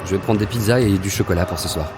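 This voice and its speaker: A man's voice: deep voice, fast, noisy background, lightly acted. A man playing a casual, supportive young athlete in a French audio drama. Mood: reassuring.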